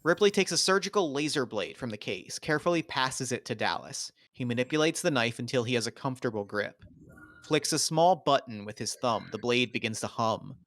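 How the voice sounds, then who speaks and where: A man's voice: medium pitch, animated, fairly quick, a bit bright. A man doing scripted narration, reading out the stage directions of a screenplay at a table read.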